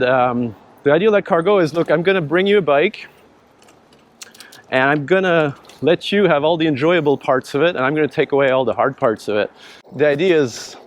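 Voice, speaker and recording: French-accented man chatting outdoors. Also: mid-range voice. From France. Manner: relaxed, rambling with restarts and repeated words. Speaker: startup founder. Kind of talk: casual conversation while riding. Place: outdoors on city streets.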